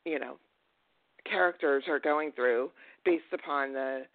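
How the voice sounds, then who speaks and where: A woman's voice: low-pitched, measured pace, no room sound. A woman hosting a podcast interview, asking friendly questions with hesitant ums. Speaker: podcast host. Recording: dry, tinny phone-like line.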